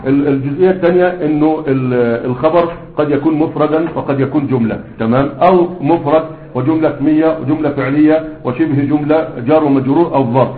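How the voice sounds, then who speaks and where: A man with an Egyptian accent teaching a grammar class, quizzing his students with prompting questions as he explains. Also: a little high. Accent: Egyptian Arabic. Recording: echoey room, phone-like sound.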